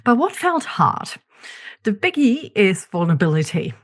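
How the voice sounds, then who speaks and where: A woman's voice: mid-range voice, sing-song, moderate pace. A woman with a German accent hosting her own podcast, talking candidly and reflectively, with frequent ums and restarts. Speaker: podcast host. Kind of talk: reflective solo podcast monologue.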